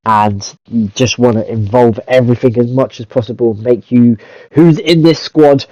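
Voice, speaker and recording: A man's voice: mid-range voice, normal speed, dark tone, no echo, lo-fi sound. A man with a cold, chatting through football game commentary for his channel, rambling with lots of hesitant fillers. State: stuffy-nosed from a cold. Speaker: gaming YouTuber. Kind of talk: casual gameplay commentary.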